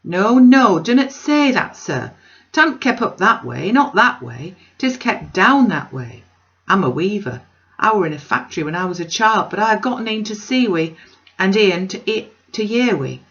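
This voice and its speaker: A woman's voice: medium pitch, sing-song, normal speed, fairly bright tone, muffled recording, pitched above the performer's own voice. A woman voicing an earnest, distressed working-class male weaver who speaks in broad dialect, in a storybook reading. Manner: earnest, broad Northern English dialect speech.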